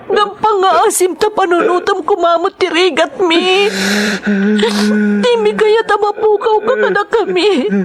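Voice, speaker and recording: A woman playing an anguished, devoted young wife in a sentimental radio drama, pleading and emotional. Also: very high voice. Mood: tearful pleading.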